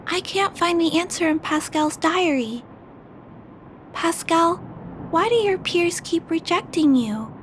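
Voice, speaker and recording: An actress voicing a gentle, thoughtful young girl who speaks earnestly and politely in a video game story. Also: very high-pitched, lively intonation, slow, a little hiss or hum, heavily acted. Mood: pensive. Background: background music.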